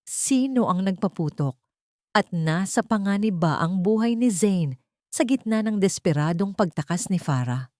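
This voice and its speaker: A woman's voice: medium pitch, lively intonation, measured pace, darkish tone, no room sound, clean studio sound. A woman with a Filipino accent reading a dramatic scripted story as an audiobook narrator.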